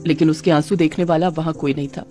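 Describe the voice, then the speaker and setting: A woman's voice: low voice, steady tone, fast, mellow, faint music behind. A woman narrator reading a scripted cartoon story.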